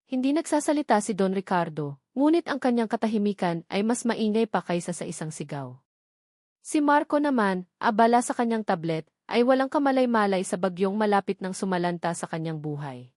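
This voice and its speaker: A woman storyteller delivers scripted romantic drama with emphasis, narrating in a dramatic manner. Her voice has a middling pitch and sits in a dry, close sound with no room around it. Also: expressive, fairly quick, a little mellow, no room sound.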